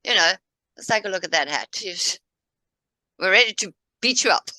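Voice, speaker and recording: A woman's voice: mid-range voice, expressive, moderate pace, pushed and bright, no echo, poor audio. A woman from Africa, chatting casually as co-host and rambling through history while pointing at a map. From South Africa. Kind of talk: casual discussion.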